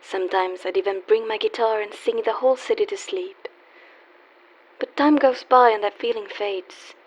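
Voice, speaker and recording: A woman's voice: mid-range voice, steady tone, normal speed, lightly acted. A woman playing a wry, world-weary young call girl in a radio play, teasing yet guarded and wistful. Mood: wistful, sad. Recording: dull lo-fi audio with faint hiss.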